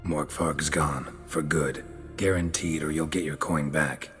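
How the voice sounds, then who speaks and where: Gruff male monster hunter, low gravelly voice, laconic deadpan delivery, fantasy game, music behind. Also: measured pace. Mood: businesslike, dry. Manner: laconic, deadpan, clipped phrasing. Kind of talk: video game cutscene dialogue.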